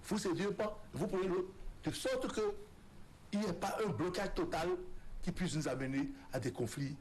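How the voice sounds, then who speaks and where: A man's voice: sits high, moderate pace, darkish tone, slight background noise. A man from Benin, speaking as a political guest in a televised debate. He talks emphatically and declaratively, often stumbling and restarting his phrases. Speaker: political guest, resigned deputy. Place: TV studio.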